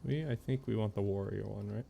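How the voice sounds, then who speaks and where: A man streaming a game with low-key, musing commentary, in a casual live style. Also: fairly quick, creaky, warm tone, slight background noise. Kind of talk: casual live gameplay commentary, sometimes reading game text aloud. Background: faint game music.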